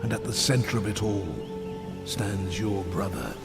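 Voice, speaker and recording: A man playing an ancient, ominous keeper of secrets in a fantasy game, giving warnings in a portentous, deliberate way. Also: on the low side, music in the background. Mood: ominous, grave. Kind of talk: dark fantasy game acting.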